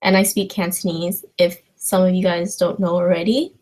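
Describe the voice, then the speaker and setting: A woman's voice: on the low side, flat delivery, normal speed, a little mellow, low-quality recording. A young woman from China chatting informally as a livestream host, rambling and hesitant as she addresses her viewers. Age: young adult. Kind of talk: casual livestream chat answering viewer questions.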